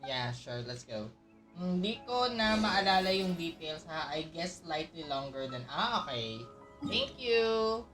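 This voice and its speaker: A woman's voice: on the low side, sing-song, unhurried, a bit bright, faint music behind. A woman with a Filipino accent giving casual, reactive livestream commentary on a game. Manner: reactive, switches between Tagalog and English. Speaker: game streamer.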